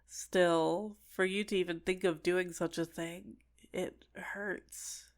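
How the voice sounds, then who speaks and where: A woman's voice: on the low side, steady tone, takes it slowly. A woman playing an obsessive, sentient dating-sim character in an ASMR roleplay, clingy and coaxing with menacing sweetness. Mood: hurt, possessive. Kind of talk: scripted ASMR roleplay monologue.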